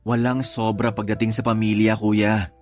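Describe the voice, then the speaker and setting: A man playing a devoted, supportive younger brother in a radio drama, conversational, reassuring and given to gentle teasing. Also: lowish voice, little pitch movement, normal speed, lightly acted. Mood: reassuring, earnest. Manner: earnest, reassuring, lightly teasing. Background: background music.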